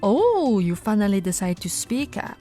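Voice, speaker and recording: A woman voicing a flirty, no-nonsense female police officer in an ASMR roleplay, switching between firm orders and soft, teasing coaxing. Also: medium pitch, sing-song, normal speed, faint music behind, close to an everyday voice. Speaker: voice actress.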